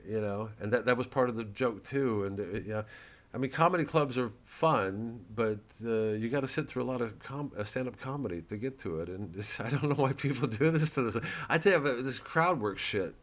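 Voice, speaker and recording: A man's voice: medium pitch, a little fast, hardly any pauses. A man, a comedian, talking casually to camera in a rambling way, with stammering restarts and free swearing.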